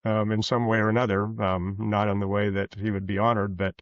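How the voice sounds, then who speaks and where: A man giving a reflective interview as a podcast guest, speaking earnestly and haltingly, often rephrasing as he goes. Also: fairly low pitch, flat delivery. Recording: muffled, roomy, echoey sound.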